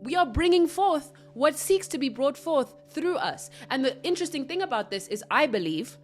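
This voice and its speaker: A woman from Africa, hosting a solo spiritual podcast, earnest and reflective with soft asides. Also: high voice, sing-song, moderate pace, faint music behind. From South Africa. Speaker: podcast host.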